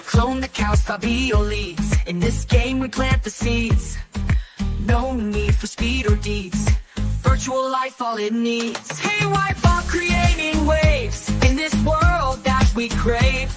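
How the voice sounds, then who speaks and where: A woman's voice: high-pitched, steady tone, slow. A woman singing a pop theme song for a show, with a melodic delivery. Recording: singing over loud music.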